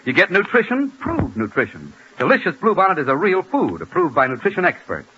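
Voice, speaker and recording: An American man announcing a 1940s radio commercial with emphatic, deliberate salesmanship. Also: high voice, huge pitch swings, slightly rough. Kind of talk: 1940s radio advertising read. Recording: muffled, faintly noisy 1940s radio broadcast.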